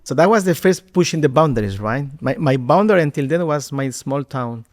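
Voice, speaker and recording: Animated Ecuadorian-born man telling his story. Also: fairly high pitch, a little fast. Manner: warm and animated, repeats words when starting. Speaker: podcast guest, marketing executive. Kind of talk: conversational storytelling.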